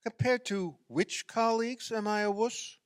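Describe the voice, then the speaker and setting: An actor playing an eager, needling fifty-year-old husband in a radio play, excitable and argumentative. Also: male voice, sits high, unhurried, smooth, dry recording, studio quality. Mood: insistent, bickering.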